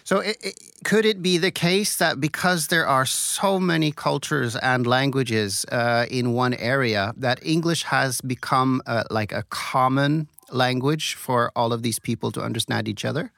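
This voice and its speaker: A man hosting an interview podcast, speaking in a measured, curious way, first reading a scripted intro and then asking questions. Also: mid-range voice, creaky, a bit bright, no echo, studio quality. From raised in many countries, born in Belgium. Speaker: podcast host.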